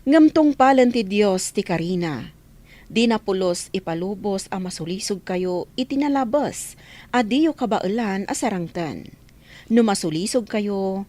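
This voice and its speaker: Expressive woman hosting a devotional radio program. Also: mid-range voice. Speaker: program host. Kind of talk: scripted devotional radio introduction with Bible reading.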